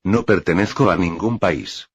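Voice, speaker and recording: A man reading the lines of a gentle female alien healer, speaking softly and in a measured, kindly way. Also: sits low, husky, no echo, heavily acted. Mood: serene. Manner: soft, measured, kindly speech. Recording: dull lo-fi dry recording.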